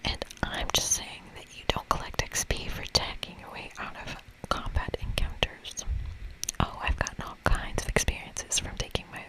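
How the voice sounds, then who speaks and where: A woman whispering in a breathy, playful and casual way as she reads a video game aloud for ASMR. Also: normal speed, low-quality recording. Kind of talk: whispered ASMR playthrough, reading dialogue and commenting.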